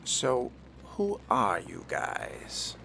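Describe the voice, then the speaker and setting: Man as vampire fledgling, game role-play, gravelly, dry, curious, clear noise behind. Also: medium pitch, wildly swooping pitch, drawn-out words, sharp tone, heavily acted. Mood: questioning. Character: wry, curious newly made male vampire fledgling.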